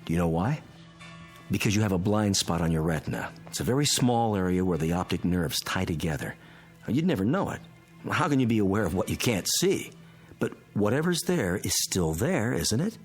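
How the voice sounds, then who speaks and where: An actor playing an analytical scientist friend in a radio drama, explaining strange phenomena in a deliberate, instructing manner. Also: male voice, deep voice, sing-song, soft background music. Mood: explaining, intent. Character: calm analytical male physicist friend explaining a mystery. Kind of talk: modern radio drama acting.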